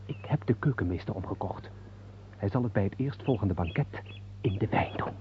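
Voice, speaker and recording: An actor playing an anxious, urgent conspiring viscount in a radio drama, with a husky, pressing voice. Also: male voice, fairly low pitch, lively intonation, fairly quick, slight background noise, close to an everyday voice. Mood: anxious, pleading. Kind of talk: theatrical radio drama acting. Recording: muffled, phone-like old radio play recording.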